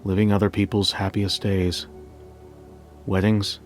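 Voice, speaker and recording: A man narrating a scripted first-person story as a bank manager, in a measured, reflective way with deliberate pauses. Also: low-pitched, little pitch movement, faint music behind. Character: bank manager recounting a memory heist.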